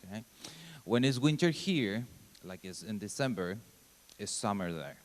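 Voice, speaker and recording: A man in his thirties from Argentina, a native Spanish speaker with an Argentine accent, giving an informal mission talk at church in halting, simple English. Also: on the low side, lively intonation. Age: 30s. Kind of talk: church presentation. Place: church sanctuary. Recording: long hall reverb.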